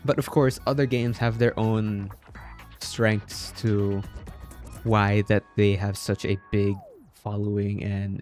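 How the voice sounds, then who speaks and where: A man from the Philippines chatting casually on a livestream, halting and repeating his words, polite and appreciative. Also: on the low side, a little mellow. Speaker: game streamer. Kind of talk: casual livestream chat with viewers.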